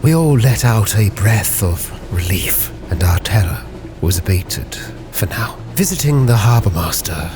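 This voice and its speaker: A man with a fairly low voice narrates a sea captain's log as a dramatic story. He speaks very slowly and solemnly, with every phrase measured, while music plays clearly behind him. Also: expressive. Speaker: storyteller, roleplay channel host.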